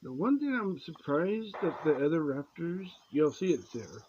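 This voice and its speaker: A man's voice: on the high side, big pitch swings, normal speed, darkish tone. A man hosting a reaction video with casual, off-the-cuff commentary and jokey asides. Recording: slightly dull sound, faint noise.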